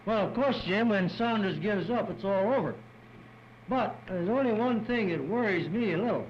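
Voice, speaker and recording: An American man with a high voice speaks in staged, conversational banter as a political adviser. The 1930s newsreel sound is dull and faintly noisy. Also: animated, moderate pace.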